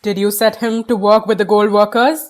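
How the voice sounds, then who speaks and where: A woman's voice: medium pitch, level tone, a little fast, darkish tone, lightly acted. A woman playing a stern, indignant governor in an audio play, commanding in manner.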